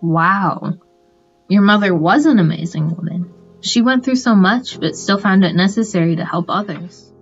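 A woman acting a sympathetic interviewer in a radio play, gentle and inquisitive. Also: medium pitch, animated, moderate pace, lo-fi sound, soft background music, natural voice. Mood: admiring. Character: sympathetic female interviewer listening to survivor.

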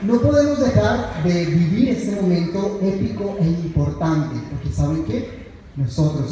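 A man's voice: fairly high pitch, dark tone. A young man, a graduating student, reading a prepared speech at a podium in an earnest, declamatory manner. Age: young adult. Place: large university auditorium, indoors. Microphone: podium microphone, picked up by distant audience camera. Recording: echoey hall from far off, faint noise.

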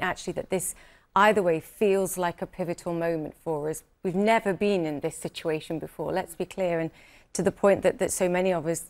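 A woman's voice: lowish voice, darkish tone. A woman correspondent talking earnestly on a live news panel, often restarting her sentences. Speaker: anchor and correspondent.

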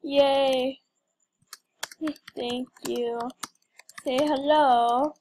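A woman's voice: high-pitched, fairly even intonation, extremely slow, dark tone, slight background noise. A young woman giving casual, playful game commentary with mock drama and exclamations. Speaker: gamer recording gameplay.

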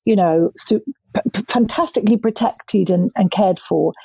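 A woman in her sixties, a guest telling lively stories from her childhood over a video call. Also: mid-range voice, normal speed, gravelly, breathy edge, no room sound. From Scotland and southern England. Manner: lively, expressive, gestures a lot with her hands. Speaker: skincare brand founder, guest. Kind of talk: storytelling interview answers. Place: bright living room at home. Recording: dry, slightly dull video-call audio.

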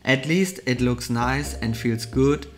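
A man's voice: medium pitch, takes it slowly. A man hosting a watch vlog, narrating a mall shopping trip in an enthusiastic, explanatory voice-over. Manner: enthusiastic, explanatory product commentary. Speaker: vlogger, watch channel host. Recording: soft music underneath.

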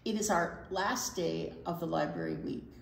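Older female storytime reader for children: lower-pitched, expressive, recorded in a roomy space. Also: vocal fry, slight background noise. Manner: expressive, pausing for asides to young listeners. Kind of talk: reading a picture book aloud to children. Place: indoor library storytime set. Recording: roomy indoor sound.

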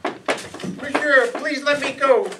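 Male actor as a courteous French henchman, high voice, formal, menacing, radio play, distant mic. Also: fairly even intonation, takes it slowly, noisy background. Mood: polite, evasive. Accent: put-on French. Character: polite, menacing French restaurant man, lean henchman. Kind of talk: old-time radio acting before an audience. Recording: live stage pickup from far off.

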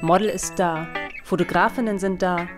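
A woman's voice: on the low side, steady tone, moderate pace. A young woman reading scripted narration as the presenter of a weekly news roundup. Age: young adult. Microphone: lapel mic. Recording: music under the voice. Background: background music.

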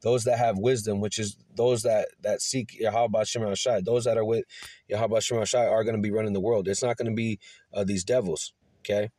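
A man teaching from scripture in a rambling way, full of fillers and rhetorical questions, reading verses and articles aloud haltingly. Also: lowish voice, fairly even intonation, dark tone, no room sound. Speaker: preacher. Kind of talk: screen-recorded Bible teaching.

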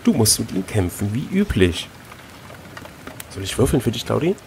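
A man reading an adventure gamebook aloud as host, switching between expressive narration and casual chat. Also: on the low side, normal speed, vocal fry, a little hiss or hum.

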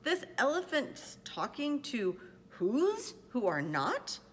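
A woman voicing a rowdy, bullying male jungle monkey in a storybook, shouting scornfully. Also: fairly high pitch, huge pitch swings, unhurried, husky, voice pushed up, heavily acted. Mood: loud, scornful.

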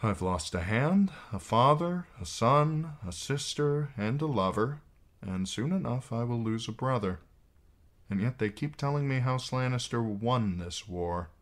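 A man's voice: mid-range voice, animated, measured pace, voice pushed up, natural voice. A man voicing a bitter, cynical knight commander in an audiobook, speaking in a dry, sardonic, commanding way. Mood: bitter, weary.

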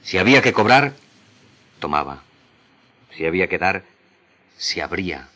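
A man giving a solemn, measured dramatic reading of literary prose, pausing between phrases. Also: low-pitched, expressive, pushed and bright. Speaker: radio drama actor reading literary excerpts.

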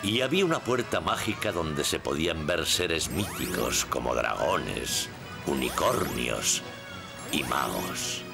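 Wildly animated, kindly old gnome storyteller. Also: male voice, rumbling low, takes it slowly, gravelly, music in the background, heavily acted. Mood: cheerful storytelling. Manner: expressive, addressing young viewers. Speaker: narrator and main character. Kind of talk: animated cartoon storytelling, Spanish dub.

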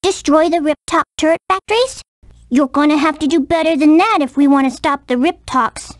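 A small, friendly monastery defender from a fantasy game, voiced by a woman. The voice is extremely high and dry, with a direct, encouraging manner. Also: normal speed, no echo, close to an everyday voice. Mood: earnest, encouraging. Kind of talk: video game character dialogue.

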